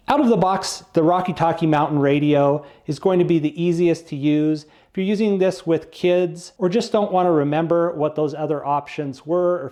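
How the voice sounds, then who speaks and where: A man's voice: fairly high pitch, warm tone. A man giving a casual product review, explaining things in a plain-spoken, non-technical way.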